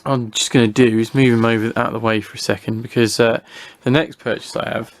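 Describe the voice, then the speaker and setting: British male hobby reviewer, mid-pitched, informal and unscripted, hesitant, thinking aloud as he demonstrates. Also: fairly even intonation, quick pace, a little hiss or hum. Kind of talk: casual unscripted product review, talking through a demonstration.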